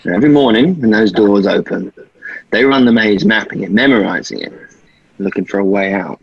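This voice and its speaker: A man's voice: fairly low pitch, a little fast, gravelly, slightly rough, lo-fi sound. A man acting in a table read as a seasoned boy leader who shows a newcomer around and explains the dangers. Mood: explanatory.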